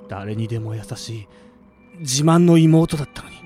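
An actor playing a cold, vengeful older brother who speaks in terse commands in a radio drama. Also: male voice, mid-range voice, lively intonation, moderate pace, dark tone, soft background music, close to an everyday voice. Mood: bitter, resolute.

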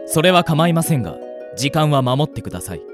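A man's voice: fairly high pitch, very animated, a little fast. A man playing an earnest, punctilious android assistant in a radio drama, speaking in formal, polite, precise phrases. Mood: stern, businesslike. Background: music.

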